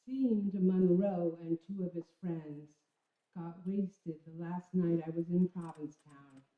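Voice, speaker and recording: A woman reading a literary memoir on stage in an expressive, measured storytelling manner, with deliberate dramatic pauses. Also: fairly low pitch. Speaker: reader of a literary memoir at a live storytelling event. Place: indoor stage venue. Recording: echoey room, dull lo-fi sound.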